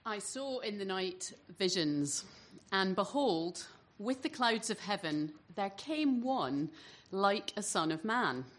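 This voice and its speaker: A woman giving a formal scripture reading in church, solemn and measured, phrase by phrase. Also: mid-range voice, expressive.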